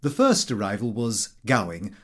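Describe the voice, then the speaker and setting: A man's voice: lowish voice, sing-song, normal speed, a bit bright. A man reading a comic diary as scripted narration, in a prim, fussy, precise manner. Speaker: audiobook reader.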